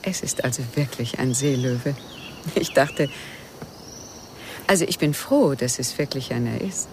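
An actress playing a guarded, wistful widow who speaks evasively and intimately in a German radio crime drama. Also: female voice, extremely low pitch, measured pace, noisy background. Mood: hesitant, confiding.

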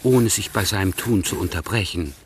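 A man with a very low voice narrates a radio play in formal literary prose. There is clear noise on the recording. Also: moderate pace.

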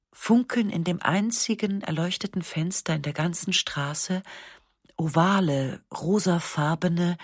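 Woman narrator, low husky voice, dry close studio sound, measured reflective literary reading. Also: dry recording. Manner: calm, measured, reflective first-person narration. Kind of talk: scripted literary reading for radio. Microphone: close studio mic.

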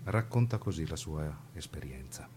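A man giving measured, grave scripted narration in the manner of a radio documentary. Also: extremely low pitch, lively intonation, darkish tone, slight background noise.

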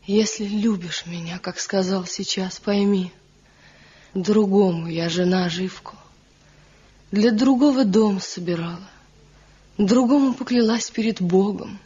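Sorrowful, resolute village wife, breathy mid voice, lo-fi vintage radio drama. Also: female voice, mid-range voice, measured pace, mellow. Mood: firm. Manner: earnest, sorrowful, firm. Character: young village wife, torn between husband and old love. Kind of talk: 1970s radio play acting. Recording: dull lo-fi old radio recording.

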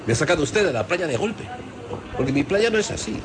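An actor playing a sceptical, fussy gentleman in a Spanish radio play, querulous and condescending, with a Castilian accent. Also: fairly high pitch, very animated, fairly quick. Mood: indignant. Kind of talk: Spanish radio theatre acting.

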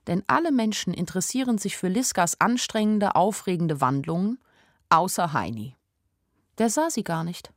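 Junge, naiv-beobachtende Erzählerin. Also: female voice, fairly low pitch, animated, normal speed, no echo. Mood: wry. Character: naive, observant young village woman telling her story. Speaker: narrator and main character of a radio play. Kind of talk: first-person radio play narration in a literary adaptation. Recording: studio radio play with music underneath. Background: music.

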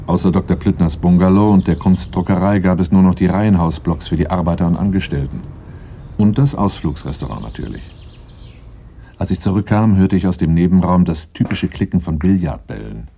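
A man's voice: deep voice, expressive, brisk, gravelly, warm tone, slight background noise. An actor playing a guarded, serious man in a radio play, with a terse, dry and restrained way of talking. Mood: reflective narration. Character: guarded, serious man, weary brother of a convict. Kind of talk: 1980s German radio play acting and narration.

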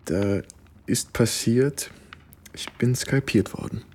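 Husky, reserved young war veteran. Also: male voice, medium pitch, takes it slowly, darkish tone. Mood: understated. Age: young adult. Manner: understated, hesitant. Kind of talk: naturalistic radio play dialogue.

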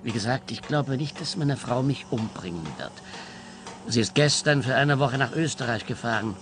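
A man's voice: mid-range voice, moderate pace, over music, close to an everyday voice. A man playing a fearful, worried husband in a radio play, speaking earnestly and precisely. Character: anxious, fearful husband, a detective's client.

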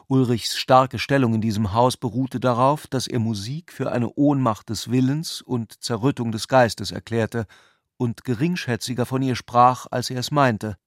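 A man's voice: medium pitch, moderate pace, no room sound. Man narrating literary prose, close and dry. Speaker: narrator of a radio literary adaptation.

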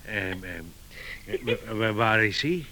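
Hesitant, stammering, low-voiced visitor. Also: male voice, sharp tone. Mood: uneasy. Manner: stammering, halting, repeating himself. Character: awkward, hesitant male visitor, bumbling and well-meaning. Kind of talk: 1980s comic radio play acting.

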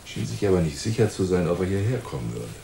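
An actor playing a gruff, brusque police inspector who talks down to others, in a hardboiled radio play. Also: male voice, low-pitched, sing-song, fast talker, warm tone, exaggerated voice. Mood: dismissive, scornful. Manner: brusque, condescending. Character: gruff, scornful, hard-boiled male police inspector. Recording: roomy echo with faint ambient noise.

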